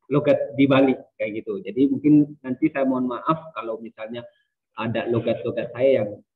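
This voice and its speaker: A man's voice: medium pitch, steady tone, normal speed. Man lecturing over a tinny video call. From Sumba, Indonesia. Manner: casual, many hesitations and fillers. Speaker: university lecturer. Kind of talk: online lecture. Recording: distant, phone-like video call audio.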